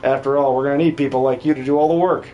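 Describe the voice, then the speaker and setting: Man doing a menacing, cocky tough guy, lower register. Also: fairly even intonation, racing, dull audio, slight background noise, lightly acted. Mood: mocking. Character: menacing, cocky male tough, low and mocking.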